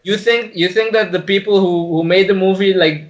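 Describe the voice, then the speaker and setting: A man with a fairly high voice co-hosts a casual film podcast. He talks bluntly in loose slang and swears a lot. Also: fairly even intonation, moderate pace, lo-fi sound. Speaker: podcast co-host. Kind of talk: casual movie discussion.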